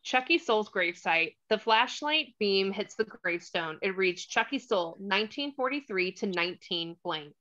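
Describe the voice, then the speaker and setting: A woman's voice: mid-range voice, lively intonation, normal speed, hardly any pauses, bright, forceful tone, dull audio. A woman narrating the stage directions of a screenplay in a scripted read. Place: remote online table read.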